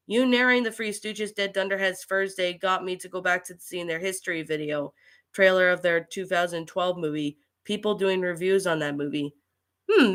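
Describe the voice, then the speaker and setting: The woman you would find hosting a small hobby livestream while nursing a cold, greeting commenters one by one in a bright, halting voice. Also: mid-range voice. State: getting over a cold with allergies. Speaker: livestream host and creepypasta narrator. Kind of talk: casual livestream chat, reading and answering viewer comments.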